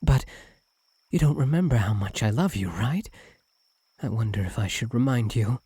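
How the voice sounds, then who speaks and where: A man voicing a doting, possessive fox-spirit husband in an intimate ASMR roleplay, soft and breathy, often slipping into whispers, tender and clingy. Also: medium pitch, lively intonation, normal speed, no echo. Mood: lovestruck, earnest. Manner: tender, clingy, hesitant, lingering on words.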